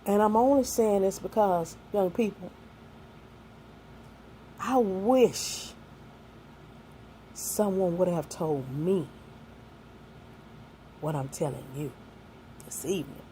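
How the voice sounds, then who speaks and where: A woman's voice: mid-range voice, takes it slowly, long pauses, warm tone, slight background noise. A woman in her sixties, a single mother and grandmother, giving young people earnest, folksy life advice that mixes faith with plain street talk.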